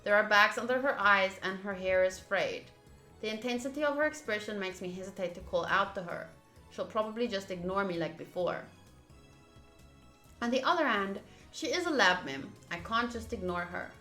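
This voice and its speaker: Bright-voiced woman streamer, roomy echo. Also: medium pitch, lively intonation, normal speed. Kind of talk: reading a visual novel aloud with casual asides to viewers. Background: game music.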